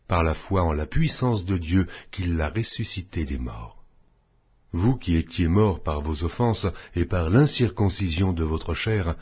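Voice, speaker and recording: A man reads scripture aloud as an audiobook narrator, with a low-pitched and somewhat rough, husky voice. He goes slowly and solemnly, setting out each phrase in a measured, declamatory manner. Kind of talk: solemn scripted Bible reading. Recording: dull, muffled lo-fi audio.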